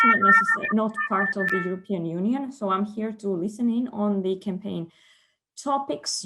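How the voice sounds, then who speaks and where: A woman's voice: mid-range voice, fairly bright tone. A woman from a green foundation introducing herself on a call, hesitant and searching for words. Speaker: foundation staffer.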